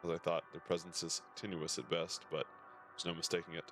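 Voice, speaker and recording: A man's voice: deep voice, little pitch movement, fairly quick, a bit bright. A man reading aloud the formal game dialogue of a serious, cautious male fantasy warrior. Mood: serious, wary. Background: faint game music.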